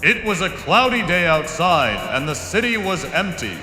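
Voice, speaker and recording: A man's voice: high-pitched, very animated, moderate pace, pushed and bright. A man giving scripted, dramatic narration of a story, with echoing reverb on the voice. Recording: echoey hall reverb, music underneath.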